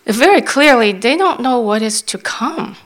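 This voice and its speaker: A woman interpreting a sermon into English as it happens, often halting and restarting while she searches for words. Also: a little high, animated.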